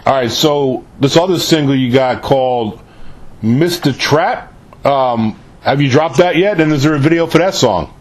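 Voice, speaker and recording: A man's voice: medium pitch, moderate pace, low-quality recording. A man hosting a casual interview show, asking questions in an informal, chummy way with plenty of "man.". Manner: informal, often says "man".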